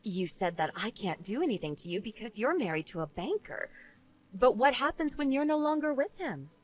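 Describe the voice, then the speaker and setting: A woman's voice: on the high side, sing-song, normal speed. A woman playing a smug, vengeful wife and former bullying victim in scripted story dialogue, taunting and sarcastic. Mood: cool taunting.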